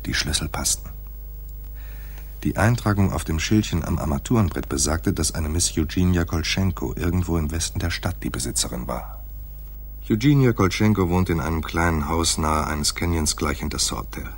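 An actor playing a laconic hard-boiled private detective in a noir radio play, with a dry, terse delivery and a husky voice. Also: male voice, moderate pace, exaggerated voice. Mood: dry, detached. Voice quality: husky, very deep. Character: hard-boiled male private detective narrating his own case. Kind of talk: noir radio play, first-person narration.

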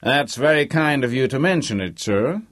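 A man's voice: medium pitch, expressive, a little slow, dry recording, studio quality, voice pushed up, lightly acted. A man voicing a wealthy middle-aged American businessman, earnest, respectful and effusive, in a storybook reading. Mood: respectful, flustered.

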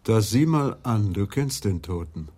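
An actor playing a blunt, grumpy police adjutant in a radio play, curt and disgruntled. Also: male voice, lowish voice, lively intonation, unhurried, dark tone, slightly rough.

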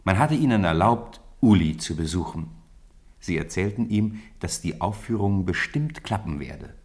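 Deep voiced guy narrating a story for a radio play, scripted reading. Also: animated, moderate pace, darkish tone.

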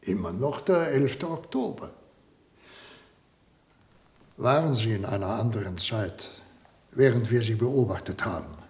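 Formal professor in a radio drama, creaky raspy voice, authoritative, reasoning. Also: male voice, lowish voice, expressive, unhurried, long pauses, a little mellow, exaggerated voice. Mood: calm. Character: indignant, rattled male professor, authoritative and reasoning. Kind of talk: German radio play acting.

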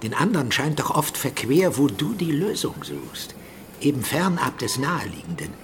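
An actor in a German radio drama playing an encouraging scientist mentor who speaks with hushed, coaxing intensity. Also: male voice, medium pitch, very animated, moderate pace, a little hiss or hum.

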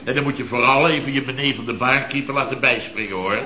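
An actor playing an exasperated boss of a contracting firm, grumbling and ranting sarcastically in an old radio comedy. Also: male voice, mid-range voice, fast, pushed and bright. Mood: sarcastic ranting. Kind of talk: 1970s Dutch radio comedy acting. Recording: dull archive radio recording, echoey, audience laughter.

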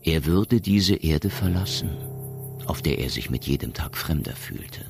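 An actor as a solemn storyteller, narrating a science fiction radio play in a measured, literary way. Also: male voice, extremely low pitch, lively intonation, full character voice. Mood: solemn narration. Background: music.

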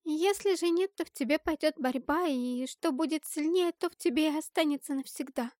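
A woman voicing an anxious, sorrowful young girl with ancient power, speaking softly and earnestly in an audiobook story. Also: sits high, moderate pace, no room sound, clean studio sound, pitched above the performer's own voice, natural voice. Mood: earnest, grave.

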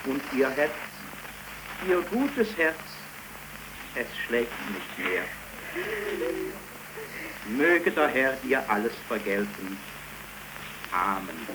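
Through heavy vintage radio noise comes a male clergyman at a graveside. He speaks very slowly and solemnly, declaiming each phrase of his eulogy with weight. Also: medium pitch, sing-song. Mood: solemn, mournful. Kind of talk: 1930s radio play acting, funeral oration. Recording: noisy crackly 1931 radio recording.

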